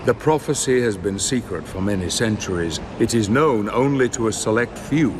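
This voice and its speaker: An actor playing a composed, knowing warrior of a holy brotherhood, speaking formally and gravely in a cinematic game. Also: male voice, lowish voice, lively intonation, moderate pace, close to an everyday voice. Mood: solemn, knowing. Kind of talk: dramatic cinematic game acting. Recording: loud ambient noise behind the voice.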